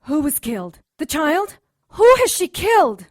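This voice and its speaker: An anxious, earnest doctor in an audio drama. Her voice is on the high side and swoops expressively. She is polite yet insistent. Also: sing-song, a little slow, no echo, clean studio sound. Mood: alarmed, distressed. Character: earnest, anxious young female doctor seeking help.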